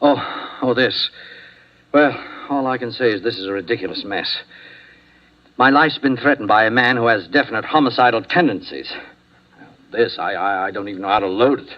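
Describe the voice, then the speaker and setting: An actor playing a nervous, formal doctor in a 1950s radio drama, speaking in a hesitant, precise, courteous way. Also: male voice, mid-range voice, moderate pace, pauses often, close to an everyday voice. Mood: uneasy, formal. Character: nervous, sheepish, formal male medical doctor. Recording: dull vintage radio recording.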